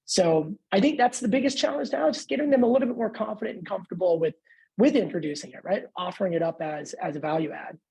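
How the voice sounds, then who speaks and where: A woman's voice: medium pitch, fast, vocal fry, dark tone, breathy edge, rough recording. A woman speaking informally as a sales expert in a panel discussion, often checking for agreement. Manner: informal, seeks agreement with frequent tags.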